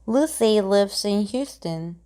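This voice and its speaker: Woman reading grammar drills; clear, measured, mid-pitched, pauses after each sentence. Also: expressive, dark tone. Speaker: example sentence reader. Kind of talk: slow, evenly paced reading of grammar example sentences.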